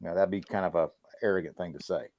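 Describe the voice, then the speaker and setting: A man's voice: low-pitched, steady tone, rapid-fire, darkish tone, dry recording, studio quality. A middle-aged American man co-hosting a casual livestream talk, laid-back and colloquial, repeating words for emphasis. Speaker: podcast co-host.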